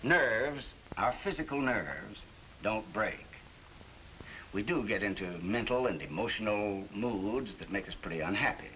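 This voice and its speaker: A man playing a calm, reassuring psychiatrist in a 1940s training film, explaining things in a measured way. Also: fairly low pitch, a little hiss or hum, low-quality recording.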